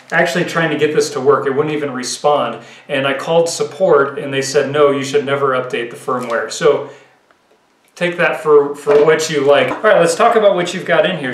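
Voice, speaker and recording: A man's voice: on the high side, a bit bright. A man in his thirties giving a casual, explanatory gear commentary, thinking aloud in an informal way. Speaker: gear reviewer. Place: indoors, home living room at a table. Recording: roomy echo, clear background noise.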